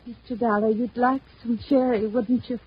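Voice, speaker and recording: An actress playing a frail, genteel wife of about forty in a radio drama, polite and weary with a quiet plea underneath. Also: female voice, fairly high pitch, little pitch movement, normal speed, natural voice. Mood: anxious, pleading. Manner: polite, restrained, quietly pleading. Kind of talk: 1950s radio drama acting. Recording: muffled, noisy vintage radio recording.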